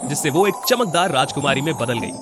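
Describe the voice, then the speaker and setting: A male narrator telling a fairy tale in a fairly high voice that swings up and down expressively. Loud music plays underneath his scripted storytelling. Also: animated, fairly quick.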